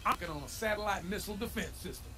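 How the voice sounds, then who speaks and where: A man's voice: sits high, racing, lightly acted. Bosco, a man doing a blustering fake-Russian shopkeeper. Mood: grandiose. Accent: put-on Russian. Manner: broken grammar, grandiose propaganda boasts. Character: scheming male shopkeeper faking a Russian accent. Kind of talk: video game voice acting. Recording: game audio under music.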